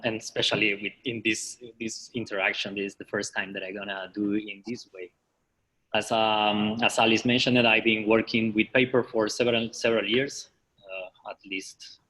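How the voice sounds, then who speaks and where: A man with an Argentine accent, talking through an art demonstration in hesitant English that keeps stalling and restarting. His lowish voice has a bright, forceful edge. It rings with a long reverb from the room. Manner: halting non-native English, frequent fillers and restarts. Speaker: artist leading a workshop. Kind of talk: live online demonstration.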